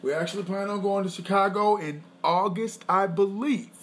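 A man co-hosting a podcast with his wife, talking in a loose, boastful, rambling way and clearing his throat often. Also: sits high, steady tone, moderate pace. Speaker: podcast co-host. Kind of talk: casual chat.

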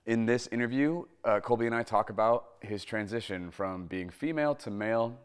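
Male interview host, earnest and appreciative, lowish voice. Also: moderate pace, clear, resonant tone. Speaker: podcast host. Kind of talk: introducing an interview.

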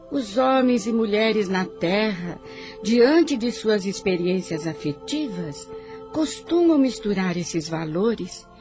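An actress playing a solemn, wise spiritual narrator who gives measured moral counsel in a radio drama. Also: female voice, medium pitch. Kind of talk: scripted didactic narration.

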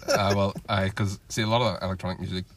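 A man chatting on a podcast in a low, quick voice. He rambles, swears freely and restarts his sentences as he goes, with a faint hiss behind him. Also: steady tone. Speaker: podcast co-host. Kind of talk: casual podcast banter.

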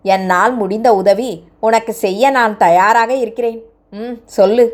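A woman voicing a kind, fatherly middle-aged man who speaks in a gentle, coaxing way. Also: a little high, fairly even intonation, unhurried, lightly acted.

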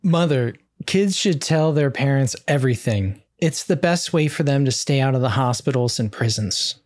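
Casting: woman as fussy, worrywart teen boy, low register, lively swings, prim deadpan comedy delivery. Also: moderate pace. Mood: fussy, worried. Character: anxious, fussy, hypochondriac teenage boy. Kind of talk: comedic script table read.

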